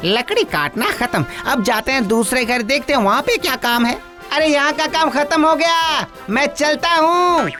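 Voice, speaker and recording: A woman's voice: sits high, animated, moderate pace, over music. A woman voicing a lovesick young male cartoon ghost, eager and sulky, pleading and complaining dramatically. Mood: breezy. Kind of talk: animated comedy cartoon acting.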